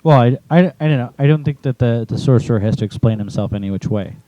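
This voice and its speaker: Mellow-voiced man in casual discussion. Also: medium pitch, fast, dry recording, dull audio. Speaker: podcast host and author. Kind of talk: casual group discussion of a story.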